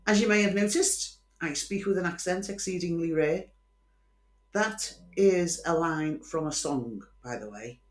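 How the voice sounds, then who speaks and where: A woman's voice: lowish voice, a little slow, echoey room. A woman from Liverpool with a Scouse accent hosts a chatty true-crime show, telling the story with opinionated, wry asides. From Liverpool, England. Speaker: host.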